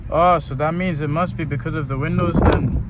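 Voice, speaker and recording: Young british guy chatting and joking in his car, slangy, really loud road noise. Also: fairly high pitch, steady tone, normal speed. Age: young adult. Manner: slangy, jokey banter. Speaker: driving vlogger. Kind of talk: casual chat, walkie-talkie exchanges. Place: inside a car while driving.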